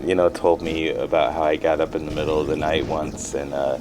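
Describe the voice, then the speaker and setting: Deep-voiced, hesitant man, audio drama. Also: little pitch movement, noisy background. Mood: hesitant, sheepish. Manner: hesitant, halting, with false starts. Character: withdrawn, uneasy man recovering from brain injury. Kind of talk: naturalistic audio drama dialogue.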